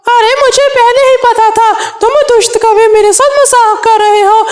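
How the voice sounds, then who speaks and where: A woman's voice: very high-pitched, fairly even intonation, moderate pace. A woman voicing a homeless male cartoon parrot, polite, earnest and plaintive in a children's story. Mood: indignant. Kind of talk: Hindi children's cartoon dialogue.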